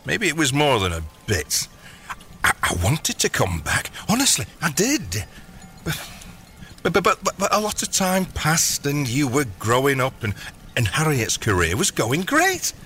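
A bumbling, middle-aged gangster dad in a comic audio drama. His bright voice leaps all over the place as he stammers, dodges and tries to soothe, with a faint noise behind him. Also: on the high side, wildly swooping pitch, unhurried, exaggerated voice. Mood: sheepish, apologetic. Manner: hesitant, flustered, placating. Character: bumbling, evasive long-absent dad turned gangster boss. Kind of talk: audio comedy drama acting.

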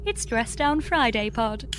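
A woman's voice: on the high side, expressive, a little slow, a bit bright, slight background noise. A woman playing a young space engineer with a British accent, earnest and exasperated, in a scripted comedy audio drama. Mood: distracted, earnest.

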